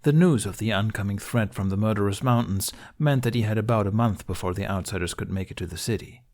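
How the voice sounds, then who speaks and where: A man reading scripted fiction aloud as an audiobook narrator, in a measured storytelling manner. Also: lowish voice, a little mellow.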